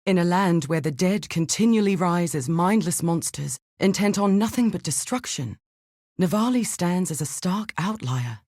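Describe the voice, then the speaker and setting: A woman's voice: deep voice, darkish tone, no room sound, clean studio sound. A woman playing an earnest, analytical female archaeologist and scientist in a video game, speaking precisely and formally. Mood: grave, thoughtful. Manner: precise, formal, articulate, thoughtful. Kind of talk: scripted video game dialogue.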